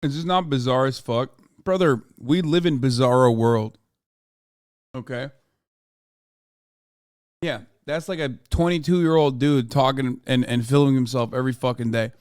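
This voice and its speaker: A man's voice: medium pitch, a little slow, pauses often, darkish tone, clean tone, no room sound, studio quality. A man in his thirties ranting in casual reaction commentary, profane and exasperated. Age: 30s. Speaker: streamer reacting to clips.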